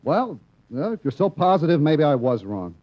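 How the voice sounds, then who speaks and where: A man's voice: on the high side, big pitch swings, normal speed. An actor playing a frank male television director who explains things bluntly in a 1950s radio comedy. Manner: explanatory, lecturing delivery. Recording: dull lo-fi old radio recording.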